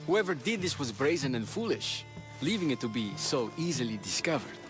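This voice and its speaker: Male actor as distrustful Spartan soldier: blunt questioning, medium-pitched voice, music underneath. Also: sing-song, a little fast, close to an everyday voice. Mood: probing. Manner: blunt, challenging. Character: gruff, suspicious Spartan soldier questioning an outsider. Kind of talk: video game voice acting.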